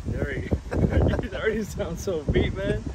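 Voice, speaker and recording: A man's voice: high-pitched, normal speed. A young man in his twenties, chatting in an upbeat, encouraging way and laughing often. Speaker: vlogger. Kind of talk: casual gym chat. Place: parking lot outside a gym, then inside a large gym. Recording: voice off-mic under loud music, with wind noise.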